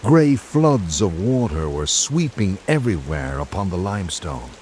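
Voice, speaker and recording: A man hosting and narrating in a scripted old-time radio drama style, with dramatic, measured delivery. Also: low voice, very animated, darkish tone, slight background noise.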